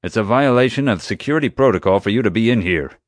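Man acting a pompous, gruff security chief. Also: lowish voice, animated, fast, no room sound, studio quality, voice pushed up, lightly acted. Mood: officious, annoyed. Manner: officious, curt, commanding. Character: pompous, gruff, territorial male theme park security chief. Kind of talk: audiobook character dialogue.

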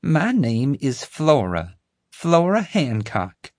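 A man voicing an elderly, genteel Southern lady with a slow, honeyed drawl. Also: medium pitch, very animated, darkish tone, no room sound, clean studio sound, voice pushed up. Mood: gracious.

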